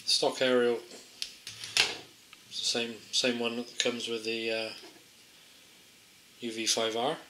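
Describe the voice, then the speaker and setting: A man's voice: mid-range voice, level tone, takes it slowly, pauses often. A man giving a casual, unscripted hands-on product demonstration, thinking aloud in a hesitant, understated way. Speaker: amateur product tester presenting a gadget. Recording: roomy echo, faint background noise, clicks.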